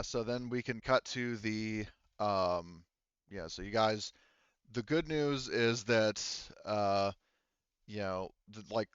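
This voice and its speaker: A man working as game master, casually narrating a sci-fi tabletop roleplaying game in a halting, think-aloud way. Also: lowish voice, smooth, dry recording, dull audio.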